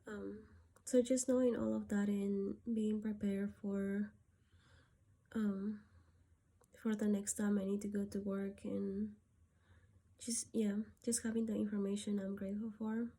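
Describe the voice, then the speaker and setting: A woman from Peru talking through a personal gratitude list, softly and hesitantly, with many pauses and fillers. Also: mid-range voice, flat delivery. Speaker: vlogger.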